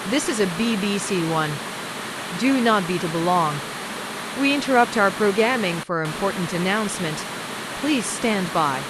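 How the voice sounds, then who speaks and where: A woman's voice: medium pitch, lively intonation. A woman reading a scripted emergency broadcast announcement as its announcer. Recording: loud hiss and rushing noise throughout.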